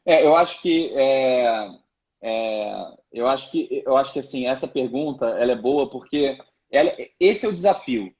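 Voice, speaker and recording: A man's voice: mid-range voice, animated, moderate pace, darkish tone. A man speaking as a guest in a live online interview, explaining his views hesitantly with many restarts. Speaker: guest, financial education project member. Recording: muffled video call audio.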